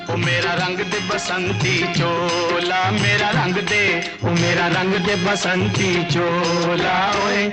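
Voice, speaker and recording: A man's voice: high-pitched, little pitch movement, takes it slowly. A man with an Indian accent singing a patriotic film song. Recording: echoing old film song with loud music. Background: loud musical accompaniment.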